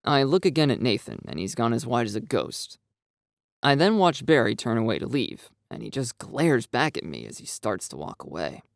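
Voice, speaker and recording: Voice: mid-range voice, very animated, normal speed, gravelly, no room sound. A narrator reading a dramatised first-person story in a scripted style. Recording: dry, music behind. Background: background music.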